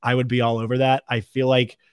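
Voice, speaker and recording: A man's voice: medium pitch, flat delivery, fairly quick, clear, resonant tone, no room sound, clean studio sound. A man hosting a sports podcast, giving unscripted commentary in a conversational way, thinking aloud and correcting himself as he goes.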